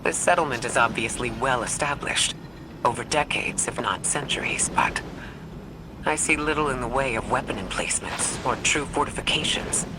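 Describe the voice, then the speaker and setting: An actor playing a grave, scholarly commander in a sci-fi game, speaking in formal, articulate sentences. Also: male voice, mid-range voice, natural voice. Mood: curious. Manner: formal, measured wording, articulate. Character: grave, scholarly male commander, cautious and curious. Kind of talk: video game dialogue acting. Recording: loud ambient noise behind the voice.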